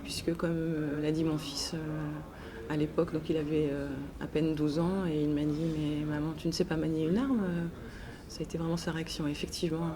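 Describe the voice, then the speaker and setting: A woman answering interview questions in a hesitant, halting way with frequent pauses. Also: deep voice. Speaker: interviewed author and teacher. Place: book festival. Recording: noisy festival ambience. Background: clear ambient noise.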